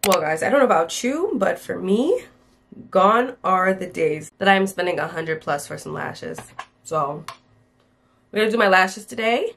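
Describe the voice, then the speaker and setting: A woman's voice: lowish voice, lively intonation, unhurried, gravelly, fairly bright tone. A woman vlogger talking casually and informally to her viewers, with chatty asides in a girly manner.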